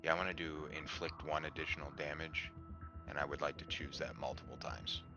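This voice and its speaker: A man playing a tabletop game, talking casually in a tentative, halting way. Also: extremely low pitch, fairly even intonation, lo-fi sound, over music.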